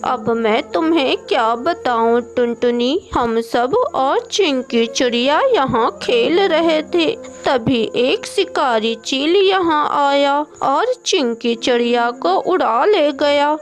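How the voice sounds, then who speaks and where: A woman's voice: sits high, animated, slow, few pauses. A woman voicing a blunt crow from a flock of birds in a children's cartoon. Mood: distressed. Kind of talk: children's cartoon dubbing in Hindi. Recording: music behind the voice.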